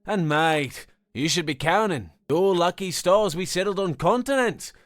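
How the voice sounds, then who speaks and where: A man voicing a laid-back, cheerful Australian man with a long drawl, loud and jovial. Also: sits high, measured pace, dry recording, studio quality, voice pushed up. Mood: amused.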